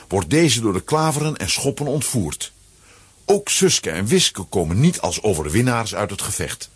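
A man narrates a children's story from a script. His voice is fairly low and very husky, with lively melody. The recording is dry. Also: no echo.

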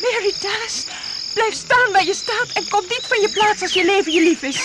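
A woman's voice: very high voice, moderate pace. An actress playing a frightened, indignant young woman in a radio play, speaking in an agitated, dramatic way. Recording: very noisy old radio play recording.

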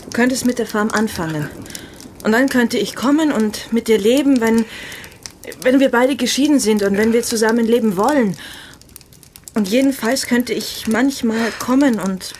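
A woman's voice: fairly high pitch, moderate pace, darkish tone, a little hiss or hum, natural voice. An actress playing a yearning upper-class married woman in a radio drama, speaking in an intimate, hesitant, pleading way. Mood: tentative, hopeful.

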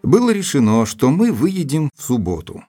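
Composed gentleman narrator over music. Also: on the high side, wildly swooping pitch, moderate pace. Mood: reflective. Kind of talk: scripted dramatic narration.

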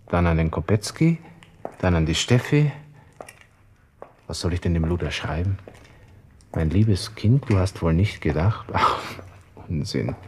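A vain young Viennese lieutenant in a radio drama, with a notably low voice. He broods aloud to himself, sliding between offhand flippancy and sentimental self-pity. There is slight noise in the recording. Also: darkish tone. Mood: brooding, flippant, then mock-formal, rueful. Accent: Viennese Austrian. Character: self-pitying, vain young Austrian army lieutenant facing his end. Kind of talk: interior monologue in a radio play.

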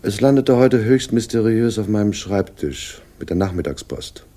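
An actor in a radio crime play playing a shrewd, sardonic police inspector, speaking with dry, deliberate condescension and a husky voice. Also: male voice, lowish voice, expressive, dark tone. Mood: dryly superior. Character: sardonic, shrewd male police inspector, world-weary but superior. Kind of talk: 1960s German radio play acting, dialogue.

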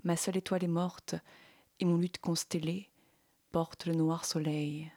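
A woman with a husky, breathy voice giving a spoken-word performance. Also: on the low side, little pitch movement, unhurried, dark tone, no echo, studio quality.

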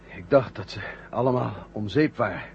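An actor playing a weak, dazed astronaut starved of oxygen, speaking haltingly in a 1970 radio drama on a dull recording. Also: male voice, on the low side, gravelly. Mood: groggy, confused. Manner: halting, repeating words. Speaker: actor in a science fiction radio serial. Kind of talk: 1970 Dutch-language radio drama acting. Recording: dull vintage radio recording with faint hum.

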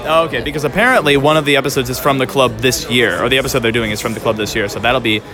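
A man's voice: mid-range voice, very fast. A man working as a podcast interviewer, chatting informally and affirming what his guests say. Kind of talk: casual convention interview. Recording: convention recording with loud background music.

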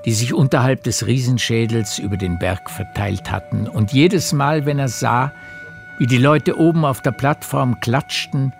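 A man narrating a radio play as a literary storyteller, with measured, deliberate phrasing. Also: medium pitch, vocal fry, soft background music.

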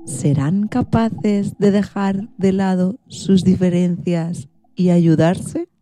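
A woman narrating a children's radio story in a deliberate, expressive storytelling manner. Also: lowish voice, over music.

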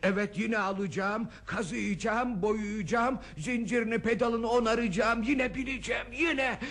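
An actor playing a stubborn, wistful old husband in a radio play, with an insistent, protesting manner. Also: male voice, very high voice, fairly even intonation, unhurried, a bit bright, heavily acted. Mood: wistful, yearning. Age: older. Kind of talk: radio theatre acting.

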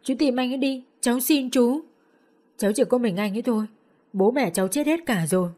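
Woman doing a crying young girl begging, voice pushed up high and tight. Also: fairly quick, mellow, lightly acted. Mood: pleading. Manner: pleading, high and strained. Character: distraught young woman pleading, sobbing.

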